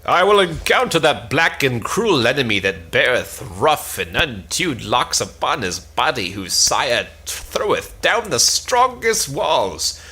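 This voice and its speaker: A man acts out a boastful knight from an old stage play. He declaims with grand, bloodthirsty bombast. The voice is forceful and swings widely in pitch, full of pompous self-regard. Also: very animated, takes it slowly, hardly any pauses, exaggerated voice, poor audio.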